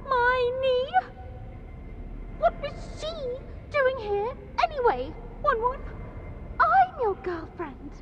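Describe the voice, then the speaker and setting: A woman playing a clingy, jealous teenage witch girlfriend in a video game, cooing and shrill. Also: big pitch swings, takes it slowly, long pauses, highs cut off, over loud music, heavily acted. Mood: jealous, wounded. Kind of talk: scripted video game acting.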